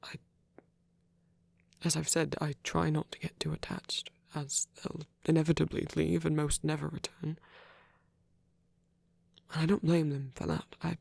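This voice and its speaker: A man performing a soft ASMR roleplay as a lonely, gentle old vampire priest, speaking haltingly and tenderly in formal words, breathy and often near a whisper. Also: a little high, little pitch movement, dry recording, full character voice. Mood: wistful, tender.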